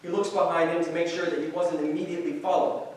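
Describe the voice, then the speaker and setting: A man narrates stage directions from a script. His voice is fairly high and sounds distant, in a very echoey room with faint noise. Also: flat delivery, quick pace.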